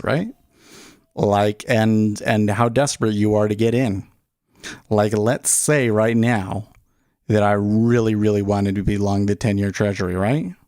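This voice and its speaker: A man's voice: lowish voice, darkish tone, no room sound, clean studio sound. A man hosting a livestream as a day trader, thinking aloud in casual, conversational talk and often checking in with his listeners.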